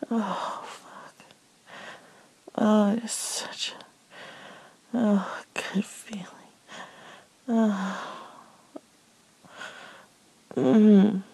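An adult woman giving an intimate, whispered first-person erotic narration in a breathy voice, drawn out and broken by moans. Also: lowish voice, dark tone, rough recording.

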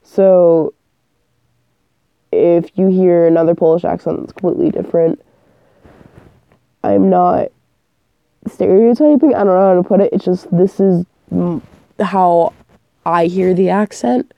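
A woman's voice: fairly low pitch, a little slow, creaky, pauses often, no echo, dull audio. A young woman who is sick and coughing, rambling casually about her work as an audio performer and often losing her train of thought.

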